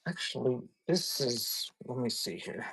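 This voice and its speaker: Folksy man in casual, halting chat. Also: mid-range voice, animated, vocal fry, background noise. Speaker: live auction seller hosting a stream. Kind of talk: casual rambling chat while showing items for sale.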